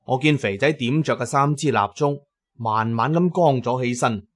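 A man's voice: mid-range voice, normal speed. A man giving dramatic first-person narration as the narrator of an audio drama.

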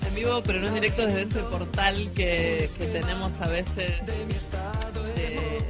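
A woman with a Rioplatense accent talks casually as a radio co-host. Her pitch is average for a woman. Music is clearly heard behind her voice. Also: steady tone, slow. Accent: Rioplatense Spanish.

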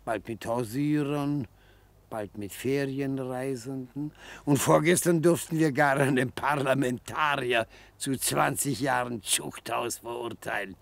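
An actor playing a genial, slyly coaxing old retired defence lawyer in a German radio play, with pompous, florid, old-fashioned phrasing. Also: male voice, medium pitch, a little slow, gravelly, no room sound. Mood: genial, confiding. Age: older.

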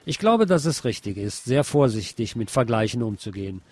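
A man's voice: mid-range voice, very animated, fairly quick, no room sound. A man reads a published interview aloud as a radio host, formally announcing questions and answers. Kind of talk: scripted reading of a published interview. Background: loud guitar music.

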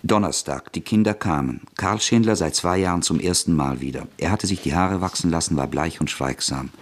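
A man narrating a radio play in a measured, even storytelling manner. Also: deep voice.

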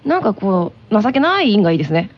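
A woman's voice: mid-range voice, big pitch swings, fast. A woman co-hosting a radio show, moving between introductions and playful banter. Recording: muffled radio audio, faint music. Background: faint music.

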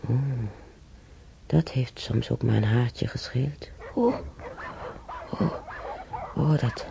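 Frail, halting older woman, slow and creaky. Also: sits low, warm tone, a little hiss or hum, exaggerated voice. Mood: haunted, agitated. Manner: halting, murmuring, repeating herself. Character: ailing older woman reminiscing wistfully about wartime. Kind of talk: radio drama monologue.

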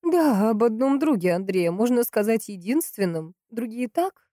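A woman's voice: on the high side, animated, dark tone, no room sound, clean studio sound, voice pushed up, close to an everyday voice. A woman voicing a hot-tempered, grieving sister in a crime audiobook, emphatic and impatient. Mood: insistent, bitter. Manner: emphatic, impatient, drawn-out stresses.

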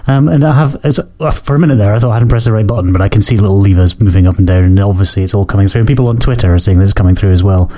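Croaky hoarse man rambling fast. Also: fairly low pitch, fairly even intonation, warm tone. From Scotland. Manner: rambling, self-correcting, apologetic. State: hoarse from a cold. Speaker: podcast host. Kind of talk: casual solo podcast chat.

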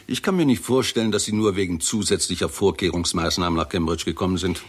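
An actor playing a blunt, skeptical scientist in a 1960s German radio drama, speaking with dry precision. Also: male voice, deep voice, quick pace, husky. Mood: dry, scornful. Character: blunt, skeptical British scientist, scornful of politicians.

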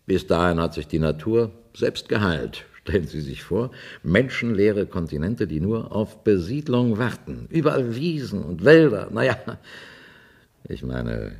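An actor playing a businesslike, coolly cynical space-station official in a 1970s German science-fiction radio drama. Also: male voice, deep voice, very animated, measured pace, darkish tone, husky, full character voice. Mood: visionary.

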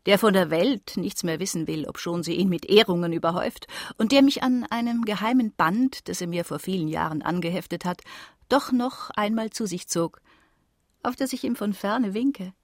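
An actress plays a rapturous, free-spirited wandering lady from 1811. Her voice sits at a middle pitch in a dry studio. She phrases formally and poetically, in radio play style. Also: moderate pace, no echo, natural voice. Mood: wistful. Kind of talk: literary radio play monologue.

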